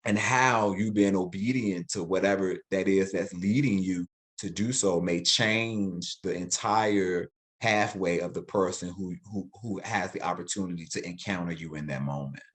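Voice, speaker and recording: A man's voice: low voice, moderate pace. Reflective man in his forties. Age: 40s. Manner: reflective, animated when quoting, repeats words. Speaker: guest, educator. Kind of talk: interview conversation.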